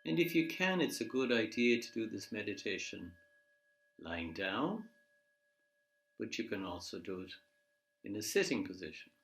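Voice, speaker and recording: A man leading a guided body scan meditation in a measured, gently instructive way, leaving pauses between phrases. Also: lowish voice, big pitch swings, vocal fry, a little hiss or hum. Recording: echoey room.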